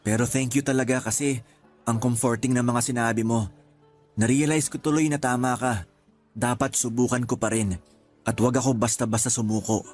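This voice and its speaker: An actor playing a young, discouraged but affectionate boyfriend in a Tagalog radio drama, speaking in a subdued, earnest way. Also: male voice, medium pitch, steady tone, normal speed, a little mellow, faint music behind, lightly acted. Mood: grateful. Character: young, discouraged, self-doubting, affectionate boyfriend seeking work.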